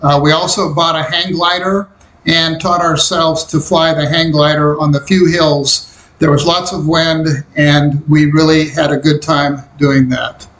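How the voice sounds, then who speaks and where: An older man from Kansas recounting memories of his youth in a reflective, unhurried way. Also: fairly high pitch, level tone, a bit bright. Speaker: interviewee recalling his life. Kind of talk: personal memoir narration. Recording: roomy echo.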